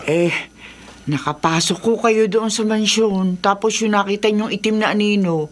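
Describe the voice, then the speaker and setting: A woman's voice: mid-range voice, moderate pace, natural voice. An actress playing a worried, superstitious village mother who speaks respectfully and gives ominous warnings in a Filipino radio drama. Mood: urgent.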